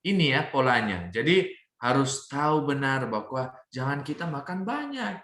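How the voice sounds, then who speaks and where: A man with a Manado accent speaks in an echoing room, giving faith-based health advice like a preaching doctor. His delivery swings widely in pitch and is emphatic, with drawn-out key phrases. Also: sing-song, a bit bright. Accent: Manado Indonesian. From Manado, North Sulawesi, Indonesia. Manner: emphatic, slows and pauses on key phrases, repeatedly checks in with "ya". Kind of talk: religious health talk, preaching. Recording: roomy, echoing sound.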